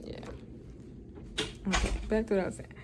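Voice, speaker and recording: A woman's voice: normal speed, noisy background. A young woman, chatting candidly into her phone camera in a hospital room, often laughing. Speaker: vlogger. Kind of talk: casual hospital vlog.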